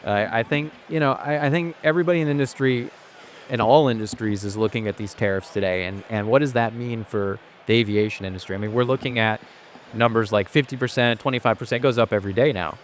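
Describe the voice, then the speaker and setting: A man's voice: medium pitch, quick pace, slight background noise. A man who grew up in Asia, a guest on a podcast, talking at length in a casual, thoughtful way with frequent restarts. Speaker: podcast guest, company founder. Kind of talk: casual interview conversation.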